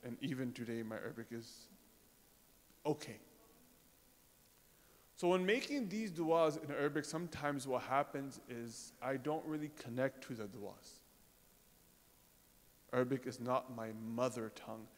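A man of ordinary pitch preaches in a mosque prayer hall, and a long reverb trails each phrase. He talks to the congregation in a loose, conversational way, pausing with fillers and asking rhetorical questions. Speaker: religious speaker. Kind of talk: informal sermon to a congregation.

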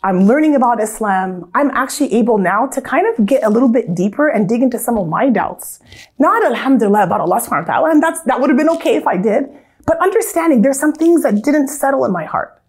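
A woman's voice: on the high side, lively intonation, fast, husky. An American woman from Southern California, in her thirties, reflecting earnestly on her life in a casual, storytelling way, with frequent restarts.

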